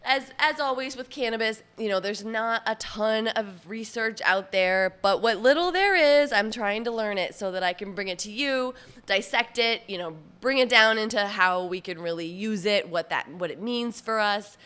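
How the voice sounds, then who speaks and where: A woman's voice: medium pitch, moderate pace, fairly bright tone, clear, resonant tone. A woman in her late forties hosting her own podcast as a personal trainer, talking casually with lots of fillers and little laughs, sounding a bit nasal from allergies. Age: 40s. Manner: chatty, laughs often, many fillers. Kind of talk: casual solo podcast talk.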